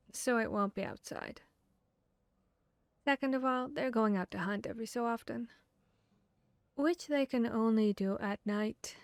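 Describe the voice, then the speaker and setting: A woman's voice: on the high side, clean tone, no echo. A woman playing an earnest, bookish vampire hunter who thinks aloud and gets slightly flustered, in a conversational ASMR audio roleplay.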